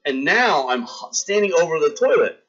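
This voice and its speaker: A man in his thirties streaming live, telling a long gross-out story with animated delivery and acting out the scenes for his chat. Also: fairly high pitch, moderate pace, dull audio.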